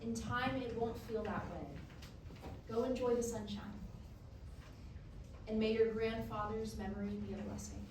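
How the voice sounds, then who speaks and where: A woman acts as a patient female therapist in a stage play, gently consoling. Her voice is fairly high, heard from a distance in a strongly reverberant room with clear background noise. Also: steady tone, natural voice. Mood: gentle, consoling. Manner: gentle, measured reassurance. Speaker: actor in a staged reading. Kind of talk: stage drama dialogue. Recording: very echoey, distant, noisy room.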